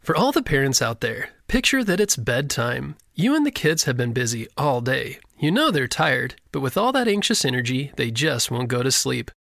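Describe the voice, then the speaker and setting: Bright, creaky-voiced man reading a promo. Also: mid-range voice, very animated, moderate pace, hardly any pauses, no room sound, studio quality. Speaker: kids' podcast creator promoting his show. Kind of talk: scripted podcast promo read.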